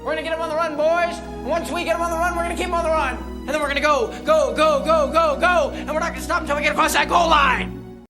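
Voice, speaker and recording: A man's voice: very high voice, fairly even intonation, fast talker, music in the background, pitched above the performer's own voice. A man voicing an eager young man who chants a rousing locker-room speech in an audiobook. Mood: fired up. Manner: chanting, repetitive pep-talk delivery.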